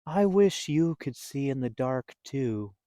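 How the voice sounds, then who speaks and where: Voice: medium pitch, animated, takes it slowly, dark tone, no room sound, studio quality. A storybook reader voicing an eager, caring young female fruit bat, earnest and given to exclaiming. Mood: relieved, fond. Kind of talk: children's storybook read-aloud.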